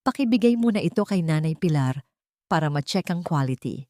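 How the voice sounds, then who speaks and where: A woman narrator with a Filipino accent voicing a kind, composed businesswoman and mother in a story, polite and gentle. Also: sits low, expressive, brisk, no echo. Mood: pleasant.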